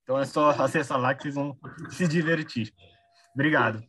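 A man's voice: medium pitch, brisk, a bit bright, breathy edge. A Brazilian man speaking casually as a panelist, giving closing remarks and recommendations. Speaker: panelist on a live online discussion show.

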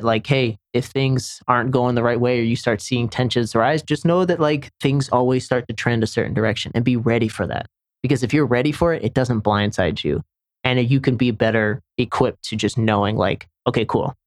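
A man's voice: mid-range voice, dry recording. A young man from Senegal, a camera assistant chatting casually on a podcast, rambling with many restarts.

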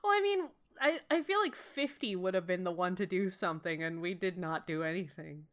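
A young woman co-hosting a podcast, playful and quick with jokes, laughing often. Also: on the low side, expressive, fairly bright tone. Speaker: podcast co-host. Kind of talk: casual podcast chat.

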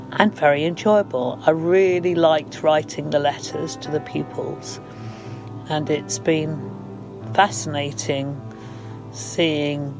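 Older female, unhurried informal introduction, measured delivery, music underneath. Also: low voice, rough recording. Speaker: storytelling project participant.

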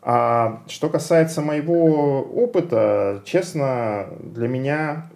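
A man's voice: mid-range voice, slow pace, vocal fry, a little mellow. A man from Russia, a podcast guest, talking in a casual, thoughtful way with many hesitations and fillers. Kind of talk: casual conversation.

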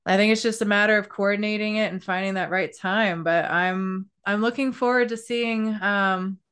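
A woman's voice: mid-range voice, fairly even intonation, normal speed, fairly bright tone, smooth. A woman hosting a podcast interview, upbeat and effusive, laughing often as she chats. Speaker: podcast host. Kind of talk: casual interview chat.

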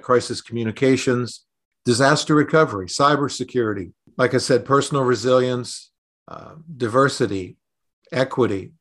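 A middle-aged man talking conversationally in a podcast interview, explaining things thoughtfully and pausing to pick his words. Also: mid-range voice. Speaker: podcast host and resilience consultant.

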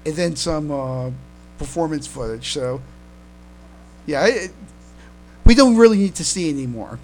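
A man with a fairly high voice talks casually as a podcast co-host. He goes haltingly, doubling back on his words. There is faint noise and hum behind him. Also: low-quality recording. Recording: steady background noise and hum.

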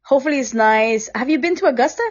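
A woman's voice: high-pitched, fast talker, highs cut off. A woman from Costa Rica hosting a podcast, telling stories in a chatty way and laughing often. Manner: chatty storyteller who laughs often and acts out what others said. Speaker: podcast host. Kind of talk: casual chat over a recording app. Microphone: remote recording app.

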